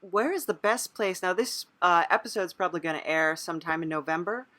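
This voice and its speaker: A woman hosting a casual interview in an agreeable, somewhat halting way. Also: on the low side, a bit bright, dry recording. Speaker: podcast host, interviewer.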